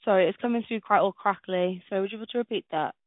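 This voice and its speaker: A woman's voice: medium pitch, very fast, no echo. A Welsh woman working as a caseworker, speaking politely and apologetically, mostly giving short replies. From Wales. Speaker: caseworker taking an application call. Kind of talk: phone conversation. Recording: tinny dry phone line.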